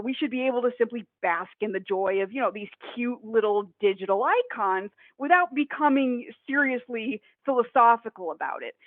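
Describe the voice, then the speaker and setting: A woman in her forties from Northern Ohio giving a conference webinar talk, slightly hoarse, chatty with many fillers and restarts. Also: a little high, no room sound. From Northern Ohio, USA. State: hoarse, coughing. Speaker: conference presenter. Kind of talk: webinar presentation. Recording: dry, phone-like audio.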